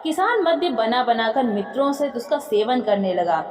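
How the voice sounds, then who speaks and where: A high-voiced woman narrates an old moral tale with lively, wide-ranging intonation. Her phrasing is literary and dramatic. The room rings with long reverb. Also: normal speed. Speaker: story narrator. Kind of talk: expressive reading of a moral folk tale.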